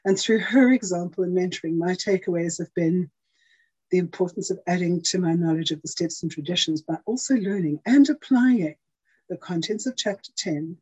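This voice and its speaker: A woman's voice: lowish voice, dark tone, slightly rough, rough recording. A woman giving an earnest, prepared service talk over a video call. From South Africa. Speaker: outgoing intergroup chair giving a service talk.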